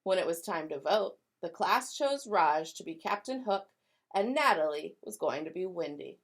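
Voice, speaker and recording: A woman educator giving school announcements and reading a children's picture book aloud, expressive and clearly paced for young listeners. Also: lowish voice, moderate pace.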